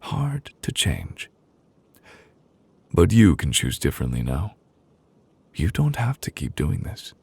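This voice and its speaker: Man playing a gentle protective lover, slow soothing pace, breathy husky tone, intimate ASMR roleplay, close dry mic, soft pauses. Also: low-pitched, very animated, no echo, exaggerated voice. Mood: comforting, encouraging. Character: gentle, protective male lover, calm and reassuring. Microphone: close, dry studio microphone.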